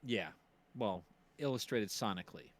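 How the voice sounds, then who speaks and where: A man's voice: lowish voice, moderate pace, a crowd in the background. A man co-hosting a live stream, explaining things casually and a bit hesitantly. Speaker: stream co-host and performer.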